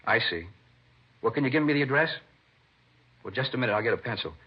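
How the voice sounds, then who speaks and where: A man's voice: mid-range voice, animated, fast, husky. An actor playing a talkative, defensive American man under police questioning, conversational in a 1950s radio drama style. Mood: eager, explaining. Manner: conversational, indignant when pressed. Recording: dull vintage radio recording.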